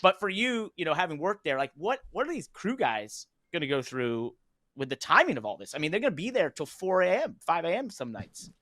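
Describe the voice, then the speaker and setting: A man's voice: on the high side, very animated, brisk, a bit bright, dry recording, studio quality. A man chatting casually as the co-host of a sports talk show.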